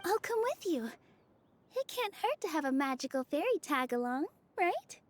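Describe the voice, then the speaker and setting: An actress playing a gentle, sincere young fairy dancer in a fantasy video game. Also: female voice, high-pitched, big pitch swings, moderate pace. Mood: friendly. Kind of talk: video game character acting.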